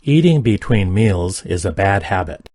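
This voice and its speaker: A man's voice: fairly low pitch, unhurried, no echo, studio quality. Man reading practice sentences twice. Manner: reads each sentence twice, careful and even. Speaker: English sentence reader. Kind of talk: slow repeated sentence reading for learners.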